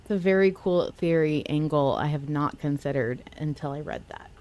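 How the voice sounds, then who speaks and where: A woman's voice: low-pitched, moderate pace, darkish tone. A woman co-hosting a fan podcast, chatting casually and using slangy language. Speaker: podcast co-host. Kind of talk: casual fan discussion of an audio drama.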